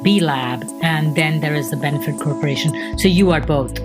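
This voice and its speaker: A woman's voice: deep voice, moderate pace, over loud music. A woman hosting a podcast, asking curious, prompting questions of her guests. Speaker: podcast host. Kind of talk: podcast interview.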